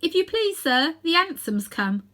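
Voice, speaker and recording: A woman reading a storybook, voicing an excited, deferential housemaid. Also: very high voice, unhurried, pitched above the performer's own voice, heavily acted. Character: excitable young housemaid, deferential, delighted by drama.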